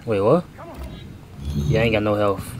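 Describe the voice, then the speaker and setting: A young American man giving casual let's-play commentary, slangy and expressive, often repeating himself. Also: fairly low pitch, normal speed, slight background noise. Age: young adult. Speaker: gaming YouTuber. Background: game audio and music.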